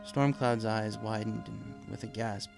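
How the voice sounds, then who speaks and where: A man's voice: on the low side, steady tone, a little slow, vocal fry, music in the background. A man reading scripted story narration as a storybook narrator.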